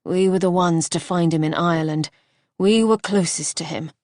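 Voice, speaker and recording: A woman voicing a gravely ill gentleman who speaks softly and tenderly in a lowered, hushed voice. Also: normal speed, no echo, studio quality, lightly acted.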